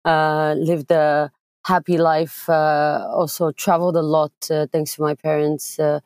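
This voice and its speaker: A young woman from Bulgaria, speaking directly as a podcast guest, with frequent filler pauses. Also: low-pitched, level tone, darkish tone, no room sound. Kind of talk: conversational interview.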